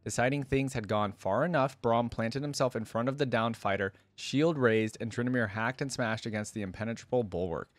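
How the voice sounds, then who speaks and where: Guy hosting a reaction vid, mid pitch voice, informal, very dry room sound. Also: fairly quick, hardly any pauses, no echo. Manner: informal, thinking aloud. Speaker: host of a reaction channel. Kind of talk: casual reaction commentary mixed with reading lore aloud.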